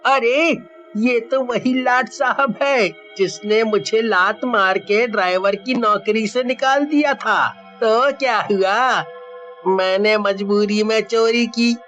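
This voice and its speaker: A man's voice: sits high, fairly bright tone, lo-fi sound. A man voicing an earnest, emphatic village man in a cartoon morality tale. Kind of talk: Hindi cartoon story acting.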